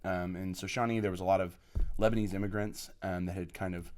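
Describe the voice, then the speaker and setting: A man's voice: low-pitched, steady tone, fairly quick, darkish tone, a little hiss or hum. A man from Oklahoma, a guest on a podcast, telling his life story with enthusiasm and plenty of fillers. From Oklahoma, USA. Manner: enthusiastic, effusive, many fillers. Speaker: podcast guest, college program lead. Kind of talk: podcast interview, personal storytelling.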